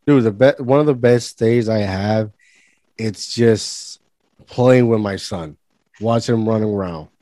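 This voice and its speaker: A man's voice: fairly low pitch, a little slow, warm tone, no echo. A man in his thirties from Puerto Rico chatting informally, repeating words as he goes. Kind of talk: casual conversation.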